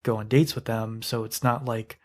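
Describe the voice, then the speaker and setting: A man's voice: fairly low pitch, steady tone, normal speed. A man co-hosting a podcast in casual, wry banter about a book. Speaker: podcast co-host.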